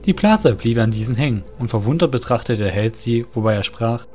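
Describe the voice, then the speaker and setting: A man's voice: mid-range voice, animated, fairly quick, darkish tone, slight background noise. A man narrating a scripted fantasy story in formal, literary phrasing. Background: music.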